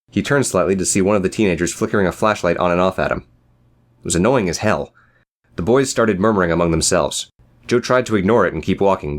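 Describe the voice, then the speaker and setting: Low-pitched, quick male audiobook narrator. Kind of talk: scripted dramatic story narration.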